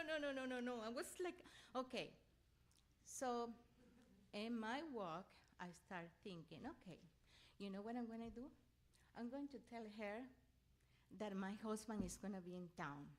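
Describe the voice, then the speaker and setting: A middle-aged or older woman from Venezuela, a native Spanish speaker with a Venezuelan accent, giving an animated personal inspirational talk as a guest speaker. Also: a little high. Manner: animated storytelling, often acting out her own reactions; laughs readily while talking.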